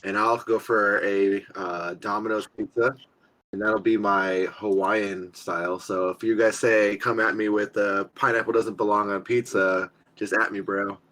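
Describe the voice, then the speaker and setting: A man's voice: on the low side, little pitch movement, a little slow, bright, forceful tone. A man joining a podcast as a guest over an internet call, talking in an easygoing way with some laughter. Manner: easygoing, laughs, a bit sleepy. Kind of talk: casual chat on a call. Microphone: remote call over the internet.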